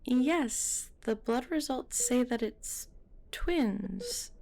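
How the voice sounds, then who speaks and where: A woman's voice: fairly high pitch, expressive, drawn-out words, creaky, noisy background, heavily acted. A woman playing a friendly, professional midwife in an audio roleplay, chatty and politely explaining things. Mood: informative, reassuring.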